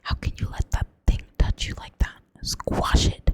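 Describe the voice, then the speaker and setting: Sulky, hot-tempered teen boy, low hissing whisper with a Southern US accent, voiced by a woman. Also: a little slow, lightly acted. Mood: disgusted.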